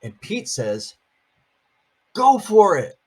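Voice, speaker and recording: Older man, grandfather type, husky and lively, reading a picture book to the grandkids, playful asides. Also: high-pitched, drawn-out words. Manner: playful, chatty, asks the listeners questions.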